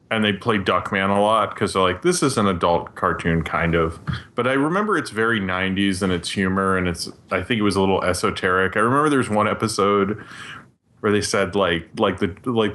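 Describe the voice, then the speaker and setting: A man chatting casually as a podcast co-host, rambling and often restarting his phrases. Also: a bit bright. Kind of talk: casual chat about old TV cartoons. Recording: audible low rumbling background noise.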